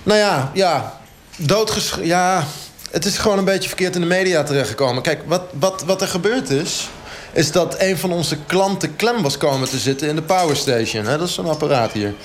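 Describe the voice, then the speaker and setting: A man's voice: on the high side, moderate pace, lightly acted. Guy acting as a blunt clueless gym coach, hesitant and matter of fact, mock radio report with background noise. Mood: defensive, halting. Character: blunt, oblivious male fitness coach justifying absurd things.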